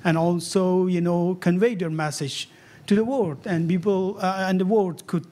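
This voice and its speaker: A man in his thirties from Afghanistan, speaking English as a with many pauses and fillers, interviewed on stage about his cartoons. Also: sits high, steady tone, warm tone. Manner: hesitant non-native English with many fillers. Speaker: cartoonist guest. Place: event hall on stage. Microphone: handheld mic held loosely.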